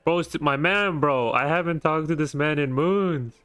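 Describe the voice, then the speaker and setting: A man's voice: fairly high pitch, moderate pace, fairly bright tone, soft background music. A young man giving slangy, offhand commentary as a game streamer. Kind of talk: casual gameplay commentary.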